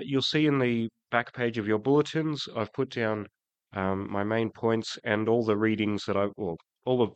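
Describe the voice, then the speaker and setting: A man preaching a sermon in church, croaky from a cold, hesitant, with many ums and restarts. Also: lowish voice, a bit bright, dry recording. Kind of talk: sermon with prayer.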